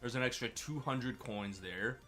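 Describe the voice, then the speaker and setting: A man streaming a game, chatty and excitable, sounding a bit stuffy from a cold. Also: medium pitch, measured pace, soft background music. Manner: chatty, excitable over wins. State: stuffy, slightly sick. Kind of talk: gameplay commentary.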